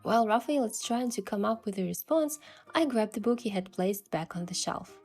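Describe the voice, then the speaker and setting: A woman voicing a curious young adventurer heroine, telling the story in the first person with earnest, expressive delivery. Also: mid-range voice, a little fast, close to an everyday voice. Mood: flustered, curious. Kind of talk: scripted first-person narration and dialogue. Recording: music behind the voice.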